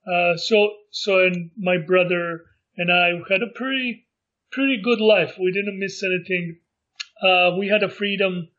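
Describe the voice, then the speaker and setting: A middle-aged man from Montenegro, recalling his life in a casual interview and speaking haltingly with many fillers and restarts. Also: high voice, fairly even intonation, fairly bright tone, muffled recording. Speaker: interview guest.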